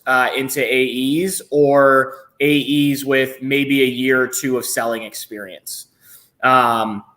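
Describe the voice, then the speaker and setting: A man in his thirties speaking as a panelist over a video call, thoughtful and pausing often with fillers. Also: mid-range voice, sharp tone, poor audio. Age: 30s. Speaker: sales leader, panelist. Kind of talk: business panel discussion.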